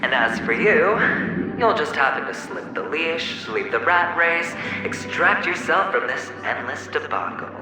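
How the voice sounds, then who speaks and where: An actor playing a smooth, theatrical, world-weary young gambler in a video game, speaking deliberately with dramatic pauses. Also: male voice, on the high side, lively intonation. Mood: smug, goading. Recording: heavy echo, long reverb, music underneath. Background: music.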